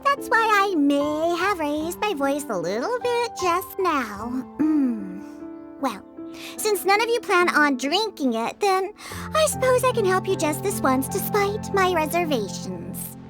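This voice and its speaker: A woman's voice: very high voice, big pitch swings, measured pace, over music, heavily acted. A woman voicing a feisty, grumpy young cat-girl bartender with a scolding manner in a video game. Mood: grudging, defensive. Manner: indignant, scolding.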